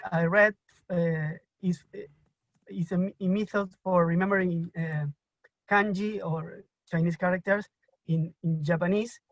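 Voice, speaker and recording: A Spanish-speaking man from Argentina asks a question over a video call in slow, halting English with an Argentine accent. He stops often, repeats words and fills gaps with "uh." The sound is dry and close. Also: high voice, no room sound, muffled recording. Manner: halting, many fillers and restarts. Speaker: audience member asking a question. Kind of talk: hesitant question in a live online Q&A.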